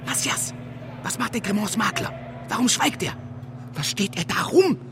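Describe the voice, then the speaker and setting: An actor playing a proud, driven speculator and banker in a radio play, husky, theatrical and emphatic. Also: male voice, high voice, very animated, normal speed, noisy background. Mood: desperate. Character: proud, driven stock-exchange speculator and banker, defiant in ruin.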